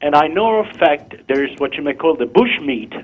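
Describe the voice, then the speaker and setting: A man's voice: fairly high pitch, expressive, moderate pace. A man in his fifties from Morocco, calling in to a talk show and explaining his view in earnest, insistent short phrases. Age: 50s. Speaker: caller. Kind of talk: call-in discussion. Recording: tinny phone line.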